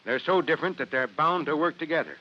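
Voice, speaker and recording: A man's voice: a little high, fast, close to an everyday voice. A man playing a confident, fatherly fire chief in an old radio drama, speaking with emphatic assurance. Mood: assured, amiable. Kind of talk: 1950s radio drama acting. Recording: muffled old radio recording, faint noise.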